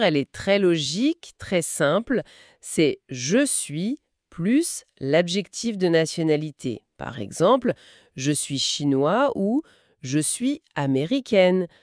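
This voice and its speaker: A woman teaches in a slow, deliberate way, pronouncing each phrase clearly so listeners can repeat it. Her pitch moves a lot as she speaks. The recording is dry and close. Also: darkish tone, no echo. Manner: slow, clearly articulated, modelling phrases for repetition. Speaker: French teacher. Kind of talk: slow scripted language lesson.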